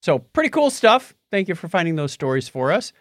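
Male podcast host, animated intonation, halting casual delivery, slightly skeptical, dry recording. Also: sits high, no room sound. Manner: halting, conversational, mildly skeptical. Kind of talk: casual tech news chat.

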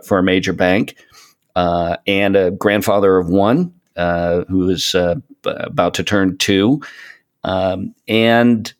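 A 57-year-old man chatting casually as a podcast guest, speaking in a halting way with rambling asides. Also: sits low. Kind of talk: casual interview chat.

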